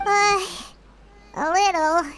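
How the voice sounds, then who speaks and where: A woman's voice: very high-pitched, very slow, a crowd in the background, heavily acted. An actress voicing a small, anxious, chatty fairy companion girl in a video game, with a childlike manner. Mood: nervous, stammering. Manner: childlike, refers to self in third person. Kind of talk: scripted video game dialogue acting.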